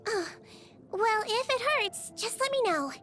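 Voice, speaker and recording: A woman voicing a small, sweet young Melusine nurse in a video game, with a gentle, caring, bubbly manner. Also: extremely high pitch, lively intonation, a little slow, soft background music, full character voice. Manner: gentle, cutesy, bubbly, attentive bedside manner. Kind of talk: video game character voice lines.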